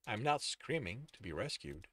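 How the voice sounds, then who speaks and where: A man's voice: fairly low pitch, sing-song, normal speed, fairly bright tone, husky, no echo, full character voice. A man reading as a stoic, emotionally numb young man who insists in a restrained, polite way. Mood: calm, resigned.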